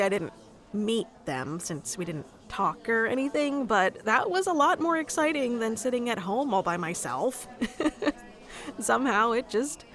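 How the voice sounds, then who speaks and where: A woman's voice: mid-range voice, fairly bright tone. A woman playing a shy, nerdy young writer in a conversational ASMR roleplay, rambling in a hesitant, giggly, self-conscious way.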